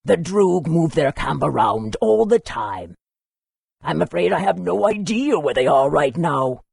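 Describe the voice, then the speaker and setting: An actor playing a long-winded, helpful old scholar in a fantasy video game, with a very raspy, creaky voice. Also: male voice, fairly high pitch, sing-song, a little slow, darkish tone, no echo, clean studio sound, heavily acted. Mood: helpful, wise. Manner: wordy, instructive.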